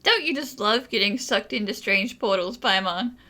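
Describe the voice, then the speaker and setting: A woman's voice: medium pitch, expressive, moderate pace, sharp tone. A woman gaming streamer giving casual commentary on a game as it plays.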